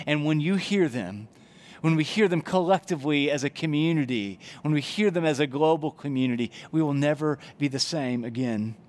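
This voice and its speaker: A man in his forties, a pastor, preaching an earnest sermon in a church chapel on a headset mic. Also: on the high side. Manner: earnest, gestures broadly while preaching. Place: church chapel, indoors, before brick wall.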